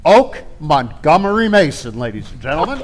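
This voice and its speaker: A man's voice: on the high side, sing-song, normal speed, a little hiss or hum. A man playing a showman emcee in radio theater, announcing contestants in a projected voice and drawing out names. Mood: upbeat, showy.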